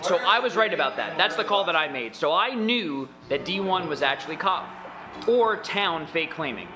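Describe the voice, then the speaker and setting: A man's voice: a little high, lively intonation, moderate pace, music in the background. Insistent man lecturing at length. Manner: insistent, lecturing, self-assured. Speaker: visiting guest. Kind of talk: animated game argument.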